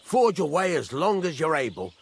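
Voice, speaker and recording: An actor playing a gruff, demanding village master blacksmith, blunt and instructive, in video game dialogue. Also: male voice, a little high, sing-song, normal speed, full character voice. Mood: blunt, brisk. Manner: instructive, blunt, swears mildly.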